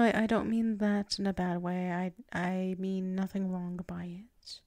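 A very slow, gravelly-edged female werewolf voiced by a woman in a close, dry ASMR roleplay. She is drowsy and tsundere, proud but submissive. Her words come out soft and halting, with flustered stammers and drifts into whispering. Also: mid-range voice, no echo.

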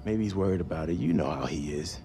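A man's voice: sits low, quick pace, vocal fry, a little hiss or hum. A man playing an easygoing, teasing lab assistant in a video game drama, talking casually. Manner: relaxed, casual. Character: laid-back, teasing male lab assistant.

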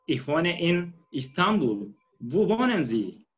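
Slow Turkish-accented man, muffled. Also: a little high, big pitch swings. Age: 30s. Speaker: language student. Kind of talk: careful dialogue practice. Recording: dull online call.

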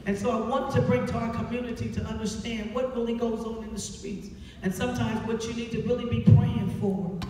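A woman's voice: on the high side, steady tone, fairly quick, mellow. Woman addressing an echoey, distant hall. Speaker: stage play director and host. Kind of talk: live stage speech introducing and thanking a cast. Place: theater stage, indoors, filmed from the audience. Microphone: handheld microphone through PA, picked up by distant camera. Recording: echoey hall from far off, crowd and music.

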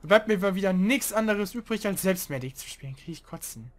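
A young man hosting a casual let's play, chatting and grumbling loudly about teammates as he plays. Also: sits high, expressive, brisk. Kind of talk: casual gaming commentary.

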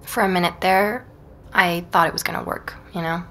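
An actress playing an earnest, determined young woman investigator in an audio drama, speaking in a measured, reflective way. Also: on the low side, fairly even intonation, a bit bright, a little hiss or hum. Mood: thoughtful, weary. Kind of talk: audio drama, conversation and first-person narration.

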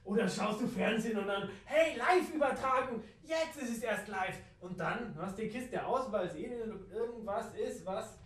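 A woman's voice: mid-range voice, normal speed, hardly any pauses. A woman with a southern German or Austrian accent performing an improvised, absurdist spoken monologue with playful, rambling repetition. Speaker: radio play performer. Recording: roomy echo, distant microphone.

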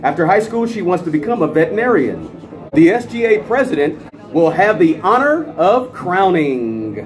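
A middle-aged American man doing live high school stadium PA announcing on a handheld microphone, with a ceremonial, drawn-out delivery of names. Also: very animated, music in the background. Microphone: handheld dynamic microphone. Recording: crowd noise behind outdoor announcing. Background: crowd.

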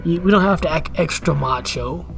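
A man's voice: fairly high pitch, normal speed, creaky, soft background music. A man in his thirties giving a casual fitness commentary rant at home, repeating himself and asking "you know what I'm saying" again and again. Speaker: fitness vlogger. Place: indoors at home, in front of a camera.

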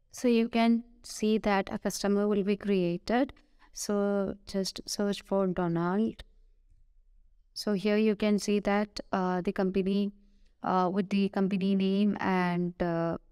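A woman with an Indian English accent giving a screen-recorded software tutorial, hesitant, with many "uh" fillers and self-corrections. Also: medium pitch, smooth, no echo, studio quality.

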